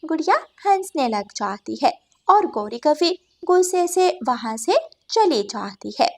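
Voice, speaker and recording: A woman's voice: high-pitched, big pitch swings, unhurried. A woman reading scripted narration for a children's moral story as the storyteller.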